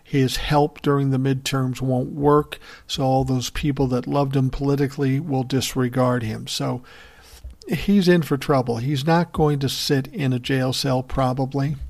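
A man's voice: medium pitch, steady tone, a little slow, vocal fry, darkish tone. A man hosting a political podcast, giving blunt, profane opinion commentary and answering listener messages in a conversational way.

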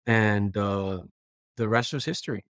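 A man's voice: on the low side, measured pace, fairly bright tone, no room sound, studio quality. A man from Puerto Rico, a chef, casually telling stories as an interview guest and often voicing quoted remarks as he goes. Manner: conversational, quotes himself and others while recounting. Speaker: guest, chef and culinary director.

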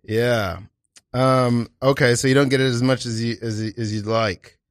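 A man hosting an improv comedy podcast, talking casually and a bit haltingly. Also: mid-range voice, steady tone, no room sound, studio quality. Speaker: podcast host. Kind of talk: casual chat.

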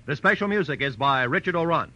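Old time radio announcer guy, very sharp and punchy, lively pitch, muffled old recording. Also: fast talker. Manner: formal, emphatic. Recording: dull vintage radio recording, faint music.

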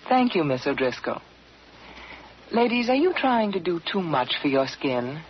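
A woman's voice: on the low side, sing-song, a little slow. A woman delivering a scripted 1940s radio advertisement as a beauty spokeswoman. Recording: dull vintage radio, faint hiss.

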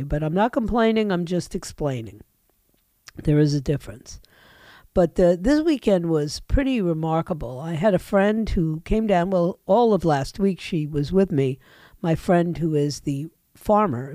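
A woman's voice: deep voice, dark tone, dry recording. A woman talk radio host with a head and chest cold, chatting in a rambling way that keeps interrupting itself, at a studio microphone. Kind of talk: casual talk radio monologue.

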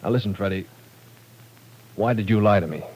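Actor as a shrewd professor, low mellow voice, measured, firm. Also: male voice, a little hiss or hum, lightly acted, poor audio. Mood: steady. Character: calm, shrewd older male professor and federal agent. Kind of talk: 1940s radio acting.

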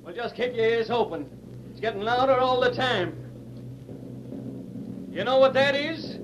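An actor playing a defiant, scornful railroad laborer in an old radio western, agitated and rough in his talk. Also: very high voice, expressive, a little slow, highs cut off, background noise. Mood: agitated, alarming. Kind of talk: 1940s radio western acting. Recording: old radio drama with crowd behind.

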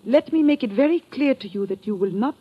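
An actress playing an elegant, mysterious madame in a mid-century radio drama, with formal, precise, commanding speech. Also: female voice, a little high, expressive, fast talker, breathy edge. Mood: cold menace. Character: imperious, elegant mysterious dragon-lady madame. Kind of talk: 1940s-50s radio drama acting. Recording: dull, muffled old radio recording.

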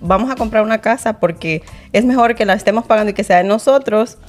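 A woman's voice: mid-range voice, quick pace, faint music behind. Chatty Honduran-born woman, real estate agent. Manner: chatty, laughs often, many fillers. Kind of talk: casual interview chat.

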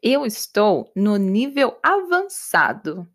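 Woman doing an Irish English teacher, patient, slow, repetitive, lively pitch. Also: full character voice. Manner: slow, repetitive, carefully clear answers.

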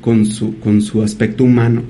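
A man, a painter as guest, telling stories in a thoughtful, halting way. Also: fairly low pitch, flat delivery, dark tone, a little hiss or hum. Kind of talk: casual interview storytelling.

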